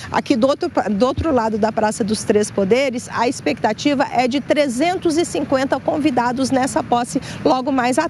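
High-voiced woman reporting live outdoors. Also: a little hiss or hum. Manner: steady informative delivery with occasional fillers. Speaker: field reporter. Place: outdoors at a government palace plaza. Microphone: handheld mic.

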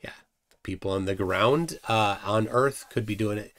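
A middle-aged man hosting a casual livestream from a room at home, scornful and animated, often trailing off quietly. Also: on the low side, normal speed. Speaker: host.

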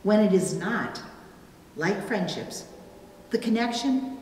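A middle-aged woman preaching a sermon from a pulpit, with deliberate, emphatic phrasing and pauses. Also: mid-range voice, lively intonation, a little mellow. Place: indoors at a church pulpit. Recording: roomy, reverberant church, distant, faint noise.

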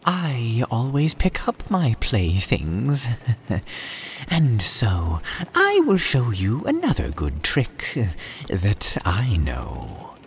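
A man voicing a sly, sinister cat in a storybook, with an unhurried, drawn-out, lilting delivery. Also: medium pitch, huge pitch swings, vocal fry, slight background noise, pitched above the performer's own voice, exaggerated voice. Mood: sly, reassuring. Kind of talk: storybook read-aloud.

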